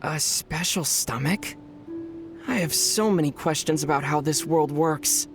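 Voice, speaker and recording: An actor playing a calm, dry young male traveler from other worlds in a video game. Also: on the high side, measured pace, creaky. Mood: wry. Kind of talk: video game dub dialogue. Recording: game audio with soft music behind.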